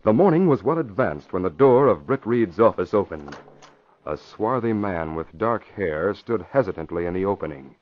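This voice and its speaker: A man's voice: fairly low pitch, animated, normal speed. A man with an American accent giving scripted narration as the announcer of a vintage radio drama. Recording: dull vintage radio recording.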